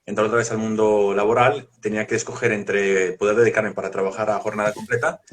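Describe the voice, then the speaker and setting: Guy telling his story, easygoing and good humored, lowish pitch. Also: level tone, fast. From Colombia. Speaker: guest, lay evangelist. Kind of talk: personal testimony in conversation.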